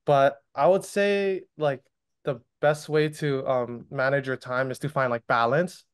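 A man's voice: medium pitch, steady tone, measured pace, clear, resonant tone. A man in his twenties talking casually and informally as a panelist on a video call. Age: 20s. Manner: informal, frequent "like". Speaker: student business owner, panelist. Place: indoor room, on a video call. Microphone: video call microphone.

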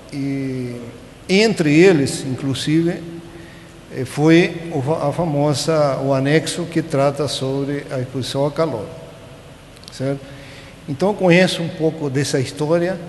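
Man, 60s, Chilean Spanish accent, slightly high voice, halting informal lecturer in a reverberant auditorium. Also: darkish tone. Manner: halting, checks in with tag questions. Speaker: conference speaker. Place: large conference auditorium. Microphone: podium gooseneck microphone. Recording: echoey auditorium with clear background noise.

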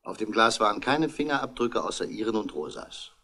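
A man playing a calm, shrewd French police inspector in a 1960s German radio crime drama, courteous, measured and probing. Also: fairly low pitch, heavily acted. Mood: shrewd questioning.

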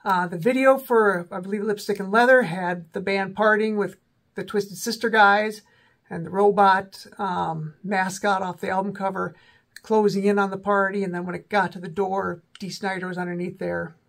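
A man in his forties with a cold and a slightly sore, raspy throat, casually talking through rock albums as host of his own channel, with many ums and self-corrections. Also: high voice, fairly even intonation, moderate pace, a bit bright. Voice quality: raspy, voice may crack. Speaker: rock music video host. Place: small indoor room with a backdrop.

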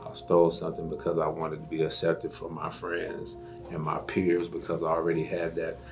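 A man's voice: low-pitched, completely flat delivery, normal speed, over music. A man in his fifties speaking candidly and reflectively in a documentary interview. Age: 50s.

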